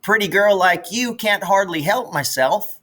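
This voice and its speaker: A folksy country boy out of a storybook, played by a man. He grumbles and teases in a bright, forceful voice pitched a bit above the reader's own. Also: animated, unhurried, full character voice. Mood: teasing. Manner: folksy, colloquial, exasperated. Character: grumbling, teasing country boy, anxious but fond.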